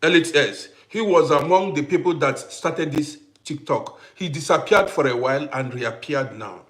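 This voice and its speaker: A man hosting a casual livestream who greets viewers by name, repeats himself, and swings between loud bursts and quiet asides. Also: a little high, moderate pace. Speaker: livestream host.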